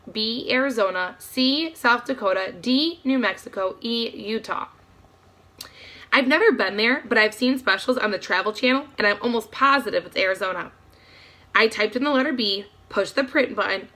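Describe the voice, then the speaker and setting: A woman reading a children's novel aloud as an expressive storybook narrator. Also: mid-range voice.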